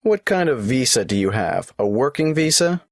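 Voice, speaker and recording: A polite government clerk who takes an applicant through a form, asking his questions one by one in a patient, matter-of-fact way. His pitch rises and falls in a lively way, and the recording is dry and close. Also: normal speed, no echo. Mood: businesslike. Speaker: tax office clerk. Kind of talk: scripted exam listening dialogue.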